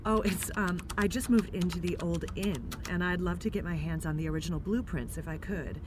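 A woman's voice: fairly low pitch, fairly quick, background noise, lightly acted. A woman acting a polite, slightly formal newcomer from New York City in an audio drama. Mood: polite, tentative.

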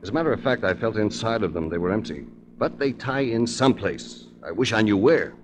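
An actor plays a suave American male investigator in a 1940s radio drama. His voice sits low, and he speaks with measured, articulate confidence and a wry edge, like someone telling his own case. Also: expressive, slight background noise, close to an everyday voice. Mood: grave, thoughtful.

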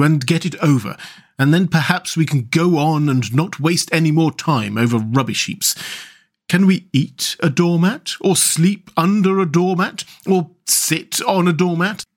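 A man voicing a self-pitying, literal-minded male mole in a storybook, in a plaintive, pettish, deliberate way. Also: a little high, voice pushed up, close to an everyday voice. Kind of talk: storybook character voice.

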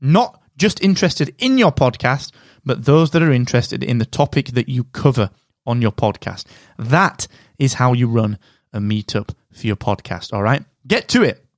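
A man hosting a solo podcast, giving direct, energetic advice while sounding slightly nasal from a cold. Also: medium pitch, moderate pace, no echo, clean studio sound. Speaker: podcast host.

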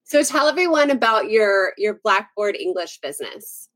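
A woman's voice: medium pitch, very animated, moderate pace, fairly bright tone, low-quality recording. A woman speaking as a podcast host, introducing a guest and asking questions in a casual interview style.

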